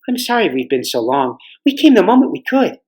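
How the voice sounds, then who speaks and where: A man's voice: high-pitched, very animated, brisk, a little mellow, voice pushed up. A man voicing a plucky, polite schoolgirl with an eager, forthright way of talking in a storybook reading. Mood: apologetic.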